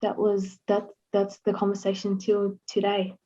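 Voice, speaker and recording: A woman's voice: medium pitch, monotone, normal speed, dark tone, slight background noise. A young Australian woman answering interview questions in a casual, rambling way, with lots of fillers and restarts. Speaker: interview guest. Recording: slightly dull lo-fi sound.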